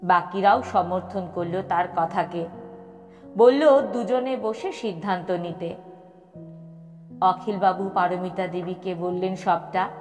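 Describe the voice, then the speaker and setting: A woman narrating a scripted audio story expressively, with dramatic pauses. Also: on the low side. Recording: long reverb with music behind. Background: background music.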